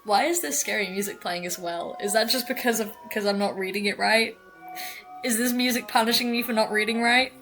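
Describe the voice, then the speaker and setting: A woman's voice: on the high side, a little fast, a bit bright, faint music behind. A woman with a British accent, chatting casually and self-deprecatingly while playing a game. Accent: British English. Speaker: gaming streamer. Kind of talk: casual let's-play commentary.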